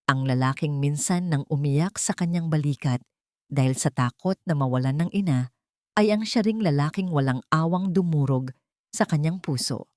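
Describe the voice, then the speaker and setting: A low-voiced woman narrates a scripted love drama. Her delivery is measured and solemn, like serious storytelling. The sound is very dry, with no echo around the voice. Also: a little mellow.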